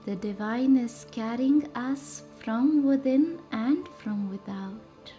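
A woman leads a guided meditation in short, soothing, measured phrases with reverent pauses. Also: a little high, mellow. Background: music.

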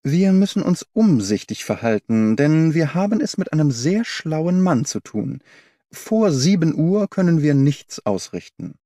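A man's voice: fairly high pitch, very animated, measured pace, warm tone, no room sound, studio quality, pitched above the performer's own voice. A man voicing a brilliant, confident detective in an audiobook, explaining in an assured, didactic way. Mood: brisk, commanding. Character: brilliant male detective, confident, analytical, commanding.

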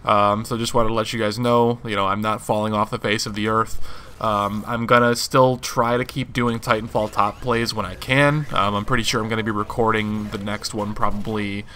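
A hoarse young man in his twenties, rambling casually through a channel update for his viewers. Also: fairly low pitch, normal speed, slight background noise. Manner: rambling, relaxed, many fillers. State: hoarse from allergies. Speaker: gaming channel host.

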